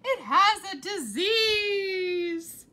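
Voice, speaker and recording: A woman's voice: very high voice, animated, very slow, sharp tone, slight background noise, pitched above the performer's own voice, full character voice. A woman voicing a dramatic storybook mother who gushes and exclaims with fake cheer. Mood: shrill alarm. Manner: gushing, exclamatory.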